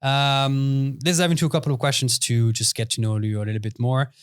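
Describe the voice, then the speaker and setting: A young man hosting a casual podcast interview in a small studio, relaxed and chatty with lots of fillers. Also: medium pitch, fairly quick, smooth, no room sound. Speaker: podcast host.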